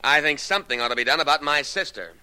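An actor playing a resentful, agitated brother in a 1940s radio drama, snappish and emphatic. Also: male voice, a little high, lively intonation, quick pace, pushed and bright, clear, resonant tone, faint music behind. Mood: bitter, agitated. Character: resentful, agitated brother fretting over an inheritance.

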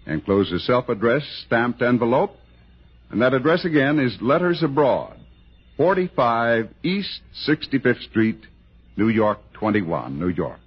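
A muffled old radio broadcast with an American male announcer. His voice is husky and mid-pitched, and he delivers the script with animated intonation. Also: measured pace.

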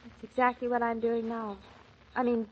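An actress in a 1940s radio drama playing a playful, tender young newlywed wife, with a bright, teasing and dreamy way of talking on an old transcription. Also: female voice, a little high, little pitch movement, moderate pace, lo-fi sound, lightly acted. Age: young adult.

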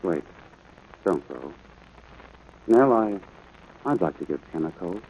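An actor playing a stern, worn-out rancher father, gruff but caring, in 1940s radio drama style. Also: deep voice, animated, slow, darkish tone, exaggerated voice. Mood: reluctant, tender. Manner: gruff, exasperated. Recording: noisy old radio broadcast.

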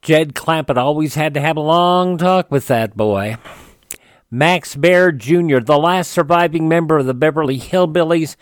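A man's voice: on the high side, normal speed, no room sound, studio quality. A man hosting a radio show, reading almanac items in an announcer-like way that leans on the names. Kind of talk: scripted radio almanac narration.

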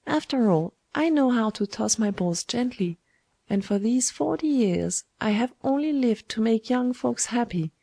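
Woman acting a sly old woman, pitched up high. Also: animated, a little slow, gravelly, mellow, dry recording, full character voice. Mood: wheedling, gloating. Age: older. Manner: wheedling, taunting, imperious. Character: sly, mocking, domineering old woman schemer.

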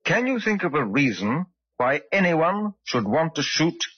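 An actor playing a courteous, shrewd police inspector in an old radio drama, formal and probing in manner. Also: male voice, fairly high pitch, very animated, a little slow, husky, full character voice. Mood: polite, probing. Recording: dull old radio recording.

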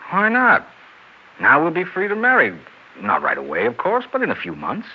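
An actor playing a scheming, persuasive American husband in a 1960s radio drama, smooth-talking but hesitant under pressure. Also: male voice, fairly high pitch, wildly swooping pitch, moderate pace, exaggerated voice. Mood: insistent, pleading. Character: scheming, smooth-talking husband who plotted his wife's murder. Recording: dull, hissy 1960s radio recording.

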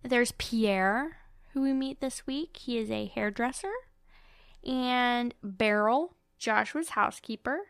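A woman's voice: on the high side, slow pace, gravelly, clean tone, no room sound, clean studio sound. A woman hosting a podcast, chatting casually and comedically about a book in a playful, emphatic, sweary way. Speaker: podcast host.

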